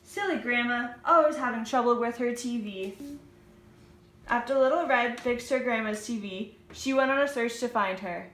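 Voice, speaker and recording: A woman with a high voice reads scripted fairy-tale narration. Her tone is bright, and the recording has the roomy sound of indoors. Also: normal speed.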